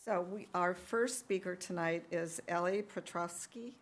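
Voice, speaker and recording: Woman, board chair, lowish voice, formal procedure, measured reading, meeting room. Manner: reads rules aloud in an even, measured way. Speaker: school board president.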